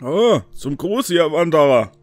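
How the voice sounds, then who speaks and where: An older town guard at a fantasy gate, put on by a male game master. He is affable yet evasive and draws out his folksy phrases. The pitch sits a little high, in a tabletop role-play manner. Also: very animated, measured pace, darkish tone, dry recording, soft background music. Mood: affable, curious.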